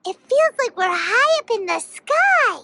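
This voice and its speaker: A woman's voice: extremely high pitch, sing-song, a little slow, heavy background noise, full character voice. A woman voicing a tiny, childlike, eager fairy companion in a video game. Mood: curious, puzzled. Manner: speaks of self in third person, exclamatory. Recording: game audio with loud music.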